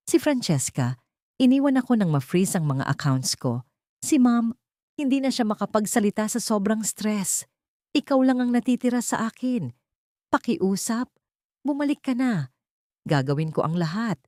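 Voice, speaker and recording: A woman's voice: medium pitch, sing-song, moderate pace, long pauses, warm tone, no echo, studio quality, close to an everyday voice. A woman storyteller voicing a disgraced executive who pleads desperately with his former lover in a dramatic romance tale. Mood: desperate, begging. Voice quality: cracked, trembling. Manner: pleading, imploring.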